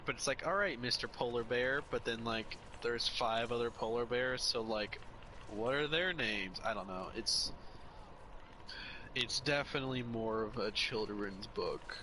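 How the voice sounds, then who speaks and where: A man's voice: medium pitch, unhurried, bright, forceful tone, low-quality recording. Chatty young man with faint traffic behind. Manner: rambling, opinionated, restarts often. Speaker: podcast co-host. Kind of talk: casual chat.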